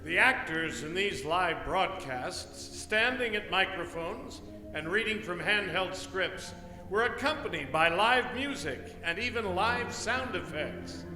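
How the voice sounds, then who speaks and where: A man's voice: sits high, lively intonation, slow pace. A man making formal, scripted announcements as the presenter of a live radio play. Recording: echoey live hall, music behind.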